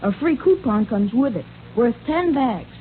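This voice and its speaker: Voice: medium pitch, expressive, a little slow, soft background music, lightly acted. A performer playing an eager, wholesome American boy who gushes through a scripted 1930s radio advertisement. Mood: eager, excited. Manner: gushing, gee-whiz enthusiasm. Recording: tinny, hissy 1930s radio recording.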